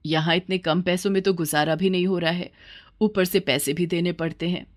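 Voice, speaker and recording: A woman's voice: fairly low pitch, fairly even intonation, moderate pace, natural voice. Woman voicing an emotive village mother. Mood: worried. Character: worried, loving village mother of grown son. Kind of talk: cartoon fairy-tale voice acting.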